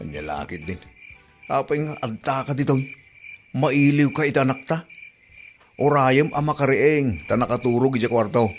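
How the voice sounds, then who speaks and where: Actor as an exasperated Ilocano husband, middling pitch. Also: male voice, animated, moderate pace, long pauses, faint music behind, close to an everyday voice. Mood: reproachful. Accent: Filipino, Ilocano. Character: exasperated husband and new father, stubborn, easily hurt. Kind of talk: Ilocano radio comedy drama acting.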